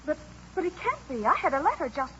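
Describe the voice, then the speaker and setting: An actress playing an earnest, trusting young farm woman in a radio play, wholesome and gentle in manner. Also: sits high, very animated, brisk. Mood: stunned, pleading. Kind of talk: 1940s radio acting. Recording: dull old radio recording, faint noise.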